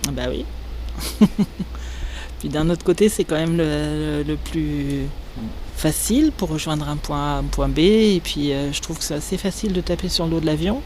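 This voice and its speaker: Woman resident stopped on the street, hesitant and trailing off, with traffic loud behind her. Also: low-pitched, normal speed. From Normandy, France. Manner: hesitant, soft-spoken, trailing off. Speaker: interviewee, local resident. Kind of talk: casual street conversation. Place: street outdoors. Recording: street noise and passing traffic.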